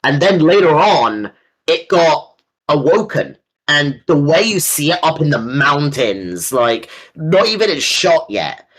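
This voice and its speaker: A man's voice: fairly high pitch, sing-song, a little slow, fairly bright tone, rough recording. A man co-hosting a casual fan discussion, talking in an enthusiastic, rambling way with some casual swearing. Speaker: co-host.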